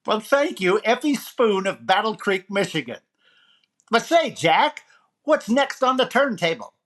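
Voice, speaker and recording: A man's voice: sits high, sing-song, measured pace, fairly bright tone, exaggerated voice. Brash wisecracking male radio comic as acted by a man, 1940s style, jive-talking disc jockey with showy, punchy announcer patter. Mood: upbeat, showy. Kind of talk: 1940s radio comedy acting.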